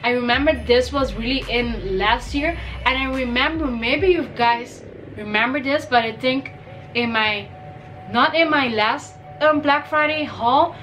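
Young woman vlogger with a cold, gushing and upbeat, bright high-ish voice, filmed by a desk camera in a bedroom. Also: a little slow, faint music behind. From Caribbean. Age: young adult. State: has a cold, voice may crack. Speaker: fashion vlogger. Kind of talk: casual shopping haul vlog. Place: bedroom, indoors.

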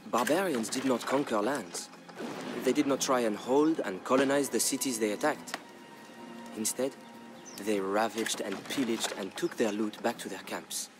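A man narrating a historical tale as a dramatic scripted story, in a measured, grave storyteller's delivery. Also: mid-range voice. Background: background music.

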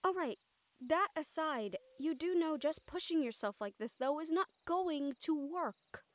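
A woman's voice: high-pitched, animated, measured pace, no echo. A woman playing an exasperated but caring siren in an audio roleplay, earnest and sighing, with Spanish endearments. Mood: concerned, earnest. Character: female siren-banshee, exasperated but caring partner and team mate.

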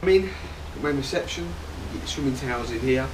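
A man's voice: mid-range voice, brisk. A man in his forties giving a chatty, down-to-earth travel vlog, filmed on an action camera held at arm's length outdoors. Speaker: motorcycle vlogger. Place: outdoors, hotel grounds and ferry deck. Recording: outdoor camera with clear background noise.

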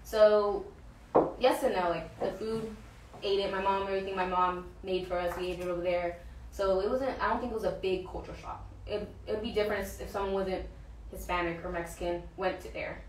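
A woman's voice: medium pitch, steady tone, a little fast, slight background noise. A young woman talking casually as an interview guest, full of fillers and searching for words. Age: young adult. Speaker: guest, pro soccer player. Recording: roomy, distant mic, faint ambience.

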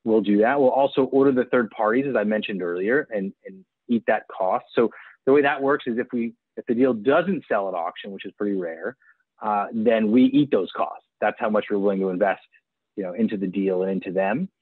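A man in his forties pitches his business eagerly over a video call, stumbling through restarts as he goes. His voice sits low, and the audio is thin and phone-like. It sounds dry, with no room in it. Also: a little fast, breathy edge, no room sound. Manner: eager salesman, restarts and fillers. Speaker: auction platform executive.

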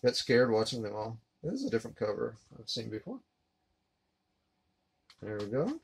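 A man in his thirties giving a relaxed, rambling unboxing commentary while handling discs at his desk. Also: medium pitch, moderate pace, gravelly. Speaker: collector unboxing a disc set. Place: collectibles room indoors. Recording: noisy home recording.

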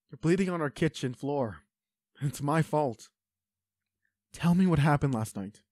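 A man's voice: fairly high pitch, a little mellow, dry recording, clean studio sound. A man playing an anguished, protective werewolf boyfriend in an audio roleplay, speaking with urgent, pleading emotion. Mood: worried, insistent. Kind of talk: intimate dramatic roleplay acting.

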